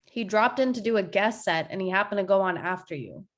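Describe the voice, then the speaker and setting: A woman's voice: medium pitch, animated, brisk, lightly acted. A woman playing a sardonic young standup comic in an amateur table read over a video call. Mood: challenging.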